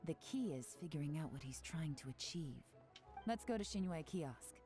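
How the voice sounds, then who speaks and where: A woman playing a composed, shrewd intelligence operative in a video game, with measured authority. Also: low voice, expressive, mellow. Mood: cool, composed. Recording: game music behind voice.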